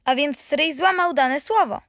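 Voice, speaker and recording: A woman's voice: sits high, unhurried, fairly bright tone, no echo, clean studio sound. A woman voicing an inquisitive, sceptical young grandson in a children's radio play.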